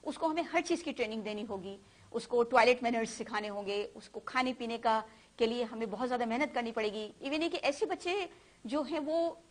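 A woman doctor, a guest in an interview, explaining earnestly and pausing now and then. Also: fairly high pitch. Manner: earnest, explanatory, some hesitation.

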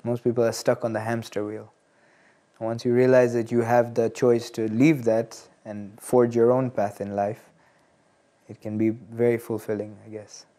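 A man in his early twenties from Tanzania, speaking as an interview guest in a measured, hesitant and earnest way. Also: mid-range voice, level tone, dark tone. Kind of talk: studio interview, personal reflection. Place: studio. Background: plucked guitar music.

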